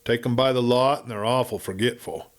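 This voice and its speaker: A man voicing a big young frontier waitress in a western story, blunt and folksy, wary but soft-hearted. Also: mid-range voice, fairly quick, lightly acted. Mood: wary, coy.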